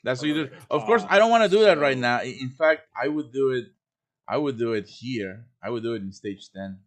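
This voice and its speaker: A man hosting a casual step-by-step software tutorial on a live stream, thinking aloud informally as he works. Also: medium pitch, animated, brisk. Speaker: live stream host teaching quest making. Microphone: computer microphone on a live stream voice chat.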